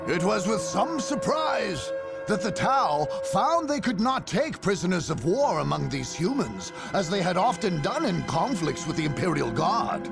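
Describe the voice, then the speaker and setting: A man playing a grave, measured chronicler, giving solemn, theatrical narration for a video game cutscene. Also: sits high, creaky, exaggerated voice.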